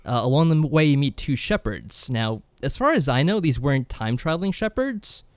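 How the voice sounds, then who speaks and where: A man's voice: mid-range voice, big pitch swings, a little fast, clear, resonant tone, no echo. A man co-hosting a podcast, casually recapping a game in a wry, tongue-in-cheek way. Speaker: podcast co-host.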